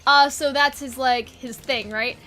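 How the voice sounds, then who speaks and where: A woman with a high, bright, forward voice talks casually as an online host. She rambles informally through her ideas without a script, like someone brainstorming out loud with friends. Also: steady tone, a little slow. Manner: rambling, informal, occasionally sweary. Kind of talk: casual unscripted brainstorming chat.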